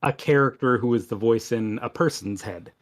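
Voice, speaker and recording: A man's voice: mid-range voice, normal speed, no echo. A man chatting casually as a podcast guest, sounding hesitant and unsure. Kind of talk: casual chat.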